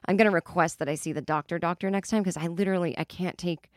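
A woman's voice: on the low side, steady tone, fast, no room sound, clean studio sound. A woman in her forties, a comedian hosting her podcast, telling stories in a chatty, exasperated way full of "like". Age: 40s. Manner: chatty, exasperated, heavy use of "like". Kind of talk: casual comedic storytelling monologue.

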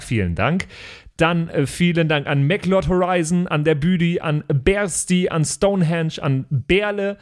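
A man hosting a podcast, casually reading out thanks to supporters in a playful, chuckling way. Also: fairly high pitch. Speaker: podcast host. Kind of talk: casual thank-you shout-outs to supporters.

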